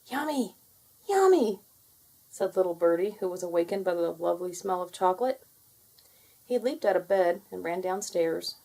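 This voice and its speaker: A woman narrating a children's storybook in an expressive, deliberate read-aloud manner. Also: fairly low pitch, vocal fry.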